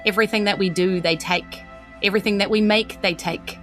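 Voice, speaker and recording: A New Zealand woman playing an earnest, nervous but determined teenage empress in a tabletop game, speaking haltingly. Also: mid-range voice, fairly even intonation, faint music behind, natural voice. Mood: bitter, determined.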